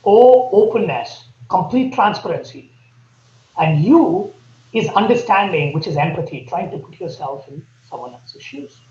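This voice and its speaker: A man with an Indian accent, a podcast guest laying out his points earnestly and deliberately. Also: high-pitched, lively intonation, a little mellow. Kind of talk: podcast interview. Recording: echoey, dull remote audio.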